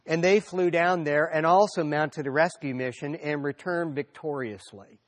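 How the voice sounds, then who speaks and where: A middle-aged man with a slightly high voice lectures an audience, telling history as a story. He talks conversationally, with fillers and restarts as he goes. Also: normal speed.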